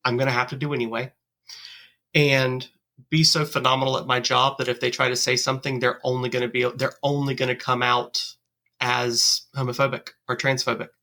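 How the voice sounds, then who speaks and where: Bright-voiced woman guest, frank. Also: very deep voice, level tone, a little fast. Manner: thoughtful and frank, determined. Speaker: podcast guest. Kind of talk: casual interview answers.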